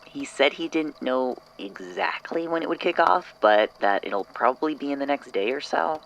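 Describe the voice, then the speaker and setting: A man playing a casual, slightly baffled colleague in an audio drama, talking in a halting, everyday way. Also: a little high, creaky, fairly bright tone, poor audio. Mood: casual, bemused.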